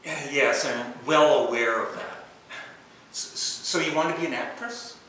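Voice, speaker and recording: A man's voice: medium pitch, normal speed, sharp tone, lightly acted. A man playing an awkward, nervous older tax attorney, hesitant and polite, in a stage play rehearsal. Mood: nervous, flustered. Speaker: amateur stage actor in rehearsal. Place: echoey indoor rehearsal room. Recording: very echoey room, distant mic, faint noise.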